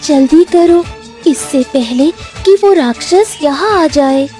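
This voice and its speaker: A noble cartoon fairy, played by a woman, gentle and protective. The voice is very high and velvety, and the words come slowly. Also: lively intonation, faint music behind. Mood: urgent. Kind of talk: animated village fairy tale acting.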